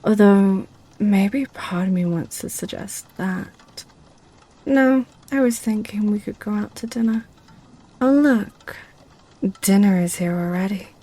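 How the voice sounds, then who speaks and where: A woman's voice: mid-range voice, takes it slowly, mellow. A woman playing a seductive, possessive succubus in an ASMR roleplay, with a teasing, sly way of talking. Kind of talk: ASMR roleplay audio drama.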